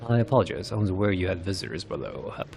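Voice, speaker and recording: A man's voice: sits low, expressive, very fast, creaky, music in the background. A man reading fantasy game dialogue aloud in character, with a formal, theatrical delivery. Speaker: streamer narrating game story.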